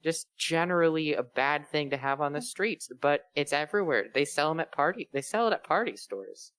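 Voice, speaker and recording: A man's voice: on the high side, expressive, fairly quick, a bit bright, clean tone, no room sound. A man co-hosting a fan fiction read-along, chatting casually in rambling, mock-earnest explanations. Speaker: co-host reading fan fiction aloud. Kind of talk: casual chat with joking riffs.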